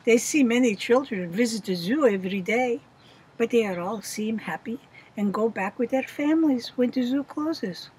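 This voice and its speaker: An older woman telling a children's story in an expressive, deliberate, dramatic way. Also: a little high, breathy edge, poor audio. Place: shady outdoor bench. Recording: faint outdoor background noise.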